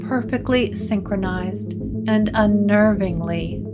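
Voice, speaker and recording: A woman's voice: medium pitch, takes it slowly. A woman narrator reading a scripted gothic story. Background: guitar music.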